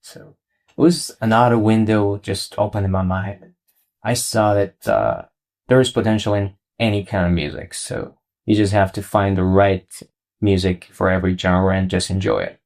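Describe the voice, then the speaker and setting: A man's voice: lowish voice, normal speed, creaky, darkish tone. A young man from Iran with an Iranian accent, talking casually as a singer-songwriter being interviewed. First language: Persian. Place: college radio studio.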